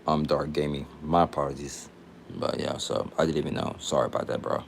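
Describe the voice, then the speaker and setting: A man's voice: very deep voice, brisk, vocal fry, slight background noise. A man in his late twenties from Texas, chatting casually on a livestream in a rambling, repetitive, slangy way. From Texas, United States.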